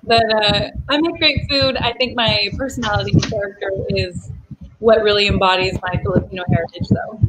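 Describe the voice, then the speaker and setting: A woman's voice: medium pitch, steady tone, brisk, fairly bright tone, poor audio. A young woman from the United States, talking casually as an interview guest, chatty with many fillers and laughs.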